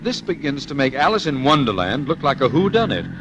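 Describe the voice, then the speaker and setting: An actor playing a suave, unflappable American playboy detective, wry and bantering, in an old-time radio drama. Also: male voice, a little high, very animated, fairly quick, background noise. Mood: puzzled, bantering.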